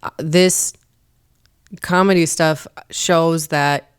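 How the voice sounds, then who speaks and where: Female guest, low register, emphatic and preachy when making a point. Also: steady tone, very slow, clean tone. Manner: earnest, emphatic, preachy about faith. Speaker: podcast guest, comedian and performer. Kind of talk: casual interview talk. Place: studio.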